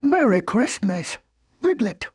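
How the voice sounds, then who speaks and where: A woman voicing a gentle, naive teddy bear who muses slowly in a children's storybook. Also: a little high, big pitch swings, breathy edge, no echo, studio quality, heavily acted. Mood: warm, polite. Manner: slow, musing, naive. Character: gentle, simple, kindly male teddy bear. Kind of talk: children's storybook character acting.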